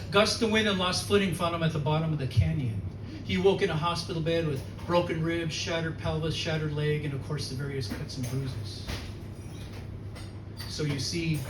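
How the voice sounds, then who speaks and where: A man's voice: fairly high pitch, distant mic. A man from South Dakota performing spoken word poetry with a rhythmic, dramatic delivery and a sardonic humor. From South Dakota, USA. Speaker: featured poet. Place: poetry reading venue, indoors. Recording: echoey room recorded from afar, noisy.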